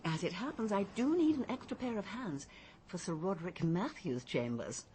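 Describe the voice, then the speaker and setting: A woman's voice: mid-range voice, lively intonation, moderate pace, mellow, a little hiss or hum, natural voice. A woman playing a proper, proud English proprietor of a cleaning agency in a radio drama, speaking formally and precisely. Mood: prim, assured.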